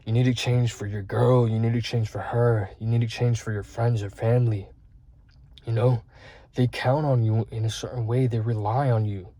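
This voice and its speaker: Husky, earnest young man talking to camera. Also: medium pitch, fairly even intonation, moderate pace, warm tone. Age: 20s. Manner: earnest, rambling, heavy on fillers and swearing. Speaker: vlogger. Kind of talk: candid self-improvement talk to camera.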